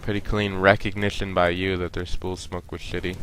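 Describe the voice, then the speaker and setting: A man's voice: sits low, little pitch movement, moderate pace, noisy background. A young man with a stuffy nose, casually reviewing a team's game and coaching the players directly. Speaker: esports coach reviewing a match.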